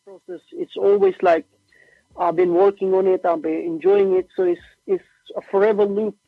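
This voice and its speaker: A young Norwegian-born man with a high, gravelly voice speaks in a relaxed, slangy way, tossing in "bro" and "like". He is a musician guest whose first language is Norwegian. The sound comes through a tinny, phone-like remote line that is dry and close. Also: moderate pace, dry recording. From Norway. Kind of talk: casual interview chat.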